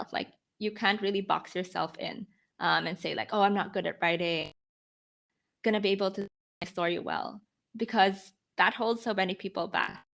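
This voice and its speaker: A woman's voice: mid-range voice, fairly bright tone. A 20-year-old woman from the Philippines giving informal, conversational interview answers as a podcast guest, often posing questions to herself as she explains. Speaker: podcast guest, newsletter founder.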